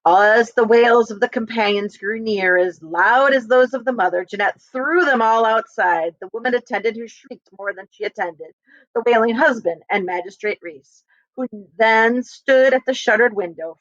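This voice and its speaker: A woman's voice: a little high, moderate pace, a bit bright, dry recording, lo-fi sound. A woman reading a children's novel aloud expressively as a teacher, speaking to students and checking in with them.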